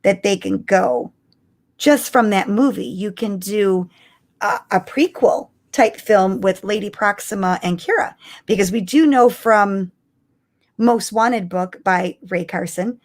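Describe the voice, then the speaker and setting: A woman's voice: medium pitch, slow. A woman co-hosting a live podcast, chatting casually and emphatically like an eager fan. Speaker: podcast co-host.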